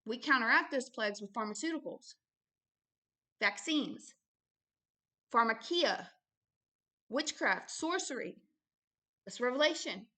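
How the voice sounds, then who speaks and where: A woman with a fairly high voice delivers unscripted religious teaching. She is emphatic and didactic, breaking her thoughts into short phrases. Key words come back again and again as she drives each point home. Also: expressive, unhurried, pauses often, sharp tone. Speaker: religious teacher and show host. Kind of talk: extemporaneous Bible teaching and book commentary.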